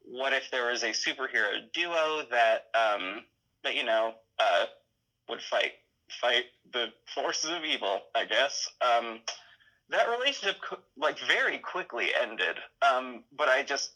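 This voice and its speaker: A man chatting casually as an interview guest, halting often as he thinks aloud. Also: mid-range voice, expressive, pushed and bright, rough recording. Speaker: interview guest, audio drama creator.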